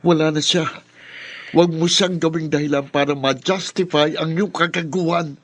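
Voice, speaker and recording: A man's voice: a little high, steady tone, normal speed, no echo, natural voice. A man playing a bitter, wounded young adult son with an accusatory, melodramatic delivery in a radio drama. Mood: bitter, accusing.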